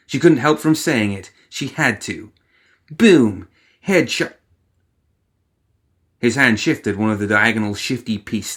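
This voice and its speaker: A man reading a fiction story aloud as an expressive audiobook narrator. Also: mid-range voice. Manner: expressive, takes on characters' inner voices.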